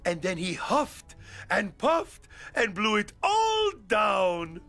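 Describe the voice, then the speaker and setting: A man playing a fussy, excitable but soft-hearted monster father in an animated film, with theatrical delivery. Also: high-pitched, takes it slowly, creaky, faint music behind, full character voice. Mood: storytelling, warm.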